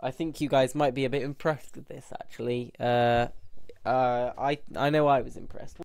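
A man's voice: medium pitch, flat delivery, moderate pace, creaky, darkish tone, smooth, no echo. A man with a stuffy, bunged-up nose, rambling casually through a gameplay tour with frequent restarts. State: stuffy nose from hay fever. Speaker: gameplay video host.

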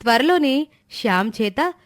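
A woman's voice: sits high, expressive, unhurried, dry recording, studio quality. A woman narrator reading a scripted moral story in a storytelling style.

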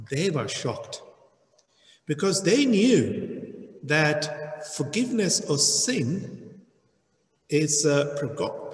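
Slow-preaching man in an echoey church hall. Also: a little high. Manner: slow, deliberate preaching with emphatic rises. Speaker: pastor. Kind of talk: sermon.

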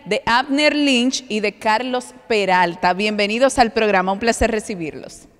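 Dominican female TV host, 40s, bright upbeat delivery, slightly high voice, reverberant studio. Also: normal speed. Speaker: TV show host. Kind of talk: lively TV interview hosting. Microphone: handheld mic.

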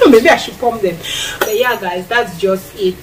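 A woman's voice: medium pitch, measured pace. Cameroonian lady chatting as she cooks in her kitchen, bit distant from the mic, faint hum behind. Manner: animated, lots of hand gestures and acted-out quotes. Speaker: vlogger. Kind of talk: casual chat while cooking. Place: small tiled home kitchen. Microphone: camera a few feet away.

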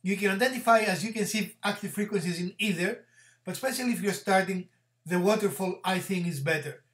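A man in his fifties giving an explanatory hobby tutorial to camera, speaking in measured, halting phrases and repeating words. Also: high-pitched, a bit bright. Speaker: presenter of a DIY hobby channel.

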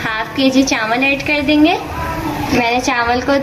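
Female home cook for recipe voice-over, high voice, roomy echo. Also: steady tone, slow, noisy background. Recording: echoey room, music underneath. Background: background music.

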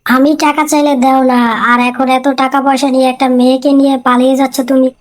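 A woman's voice: sits high, flat delivery, quick pace, close to an everyday voice. A woman voicing a brash, foul-mouthed son in a comic cartoon, blunt and scornful. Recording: music behind the voice.

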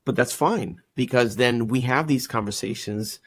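A man's voice: mid-range voice, moderate pace. A man from Puerto Rico with a Puerto Rican accent, chatting casually as a podcast guest.

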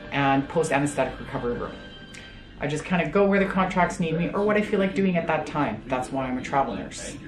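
A woman's voice: sits low, roomy sound, low-quality recording. A woman in her late thirties from Calgary, a travel nurse chatting casually to camera in a bedroom, upbeat with many fillers. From Calgary, Canada. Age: late 30s. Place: bedroom, indoors.

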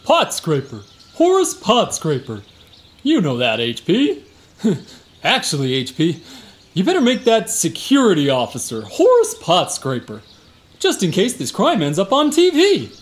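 Casting: self-important bumbling security guard for radio comedy, slow-talking with hugely animated intonation. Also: male voice, high-pitched, heavily acted. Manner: bragging, exaggerated, bumbling. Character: boastful, dim, attention-hungry male security guard. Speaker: temple guard witness. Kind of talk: comic radio play.

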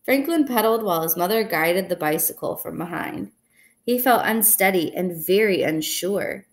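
A young woman reading a children's picture book aloud in an expressive, deliberate way, recorded indoors at home. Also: fairly low pitch. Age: young adult. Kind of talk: storybook read-aloud. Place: indoors in a small room at home.

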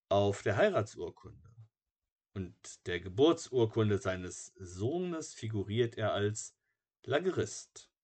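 A man narrating a biography from a script in a measured, matter-of-fact way, like an audiobook. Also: low voice, a little mellow.

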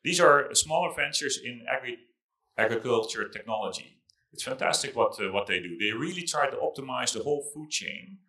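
A middle-aged man giving a keynote speech to an audience through a handheld microphone, with a halting delivery and repeated words. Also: mid-range voice, bright, forceful tone, rough recording. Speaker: conference keynote speaker, investment fund chief executive. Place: large indoor conference hall stage.

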